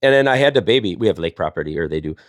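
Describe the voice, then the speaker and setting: Low-voiced guy, fast-talking playful storyteller, very dry close sound. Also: lively intonation, no echo. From Wisconsin, United States. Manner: rambling, jokey, playful. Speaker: storyteller and live panel host. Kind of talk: casual chat and storytelling.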